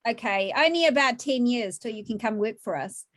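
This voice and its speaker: A woman's voice: on the high side, expressive, a little fast. A woman, hosting a virtual cooking class from her home kitchen, chatty and upbeat as she questions a child.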